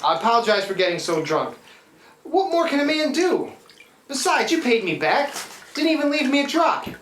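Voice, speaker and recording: A woman's voice: high-pitched, big pitch swings, normal speed. Woman doing boozy scruffy riverboat guy, folksy and wheedling, really up and down, radio play in an echoey room. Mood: pleading, exasperated. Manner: folksy, rambling, theatrical working-class speech. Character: scruffy hard-drinking riverboat man, folksy, wheedling, put-upon. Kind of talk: live radio play acting. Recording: roomy live stage, watery foley noise.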